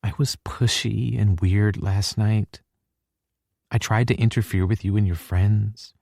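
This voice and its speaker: A man voicing a tearful, anxious young woman in an audiobook, raising his voice into a soft, shaky tone. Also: fairly low pitch, steady tone, a little slow, vocal fry, no room sound, studio quality, pitched above the performer's own voice.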